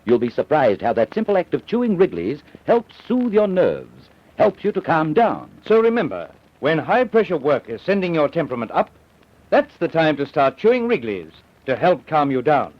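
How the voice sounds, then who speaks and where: A man's voice: fairly high pitch, wildly swooping pitch, normal speed, no room sound. A man reading an old-time radio advertisement as a commercial announcer. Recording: dull old radio recording.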